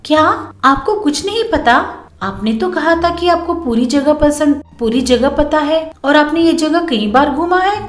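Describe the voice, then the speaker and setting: A woman voicing a lively, anxious young daughter-in-law in a cartoon story, with a high, eager and pleading tone. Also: measured pace. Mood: reproachful. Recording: roomy echo, faint music behind.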